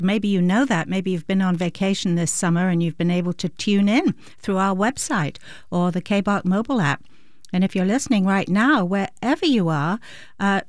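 A woman's voice: mid-range voice, dry recording. A woman co-hosting on a classical radio station, making a friendly, conversational pledge appeal into a studio microphone. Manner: friendly, conversational, speaks straight to listeners.

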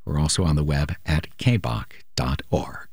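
A man's voice: rumbling low, moderate pace, a little mellow, faint music behind. A male radio host making an earnest, persuasive appeal during a pledge drive.

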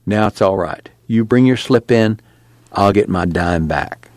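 A man's voice: on the low side, measured pace, darkish tone, dry recording, clean studio sound, natural voice. A man voicing a nervous, defensive small-time store clerk with a drawl. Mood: relieved. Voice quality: jittery, pleading.

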